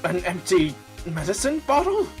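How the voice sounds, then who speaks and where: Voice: mid-range voice, sing-song, normal speed, faint music behind. A performer playing a pompous, easily shocked male judge in an amateur courtroom game fandub. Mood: considering.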